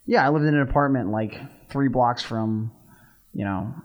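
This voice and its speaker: A man's voice: medium pitch, normal speed, muffled recording. A young man with a Texan accent, co-hosting a college radio show in casual, rambling chat. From Texas. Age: young adult. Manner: relaxed, rambling with many "like" fillers.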